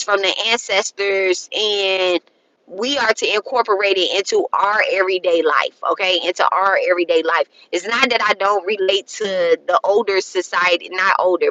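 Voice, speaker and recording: A woman in her thirties talks loosely and frankly about spiritual matters, circling back and repeating herself. Her voice is bright and forward. The sound is dry and close. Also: medium pitch, no echo, low-quality recording. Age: 30s. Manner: rambling, repeats herself, adds "okay?" often; frank and profane, colloquial phrasing. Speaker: spiritual content creator. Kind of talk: casual spiritual talk to viewers.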